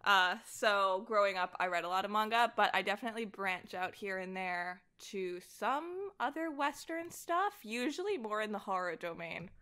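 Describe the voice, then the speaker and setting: A woman chatting casually as a podcast guest, with an animated, giggly and self-deprecating manner. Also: mid-range voice, moderate pace, few pauses. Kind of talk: casual chat.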